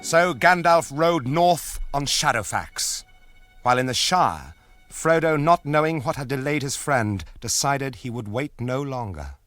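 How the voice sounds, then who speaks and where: A man giving solemn, measured, scripted narration for a fantasy radio drama. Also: mid-range voice, animated, a bit bright. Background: music.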